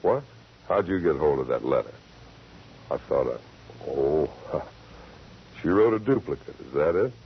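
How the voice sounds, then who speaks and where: Folksy singing cowboy, unhurried and expressive, dulled vintage radio recording. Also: male voice, rumbling low, slight background noise, exaggerated voice. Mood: resigned. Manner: folksy, laid-back. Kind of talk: 1940s radio drama acting. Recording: muffled 1940s radio recording.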